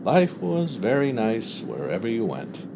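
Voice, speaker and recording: A man narrating a literary short story as an audiobook reader, with expressive delivery. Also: medium pitch, a little slow, background noise.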